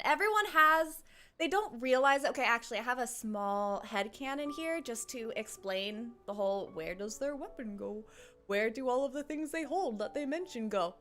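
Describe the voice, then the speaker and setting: A woman's voice: high-pitched, expressive, normal speed, a bit bright. A woman giving chatty, rambling, playful casual commentary as she plays through a game. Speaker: game streamer. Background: game music.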